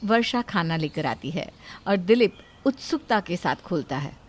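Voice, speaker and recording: A woman's voice: fairly low pitch, expressive, moderate pace. A woman narrator reading a scripted moral story.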